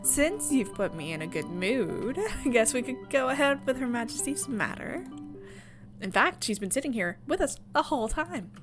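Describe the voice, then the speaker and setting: A woman's voice: a little high, very animated, moderate pace, music in the background. A woman voicing an eccentric, playful female tea-party host with a whimsical, teasing manner in a visual novel. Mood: pleased.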